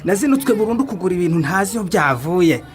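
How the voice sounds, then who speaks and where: An actor playing a hard-nosed food seller who teases and brushes people off in a radio drama. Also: male voice, high-pitched, lively intonation, quick pace. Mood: wary. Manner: teasing, dismissive.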